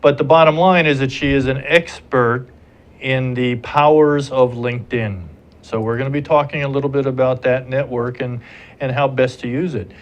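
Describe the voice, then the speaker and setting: A man's voice: medium pitch, fairly even intonation, moderate pace. Older male host, hesitant friendly delivery. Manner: hesitant, friendly, many fillers. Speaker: talk show host. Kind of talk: business talk show. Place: TV studio.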